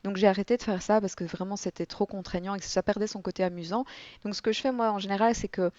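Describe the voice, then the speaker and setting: Lively young French-speaking woman, lo-fi. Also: mid-range voice, fast talker, no room sound. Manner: lively storytelling, animated. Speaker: guest, board game content creator. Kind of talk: relaxed podcast interview. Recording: dull, dry lo-fi audio.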